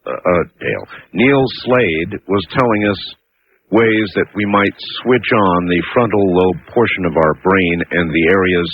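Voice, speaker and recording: A low-pitched man hosts live call-in radio, thinking aloud in a deliberate, unscripted way. His voice comes through a narrow, tinny old broadcast with a light layer of noise behind it. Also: lively intonation. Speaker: radio talk show host.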